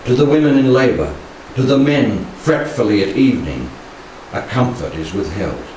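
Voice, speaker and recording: A man in his sixties with a fairly low voice reads verse aloud in a solemn, measured way. He leaves dramatic pauses between phrases. The sound is heavily reverberant and distant, with a faint layer of noise. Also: sing-song, a little mellow. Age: 60s. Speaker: poetry reader. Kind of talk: solemn poetry recitation. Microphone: handheld stage microphone.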